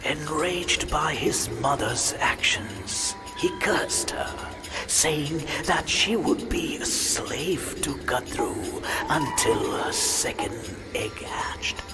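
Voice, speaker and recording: A man playing an ancient, serene god who narrates myths in a video game with a breathy, solemn, measured delivery. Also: fairly high pitch, expressive. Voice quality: breathy, whispery. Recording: loud music under the voice.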